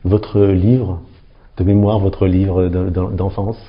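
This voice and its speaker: A man's voice: low-pitched, flat delivery, slow pace, dull audio. A man interviewing formally and courteously, putting questions to his guest. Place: an ambassador's residence.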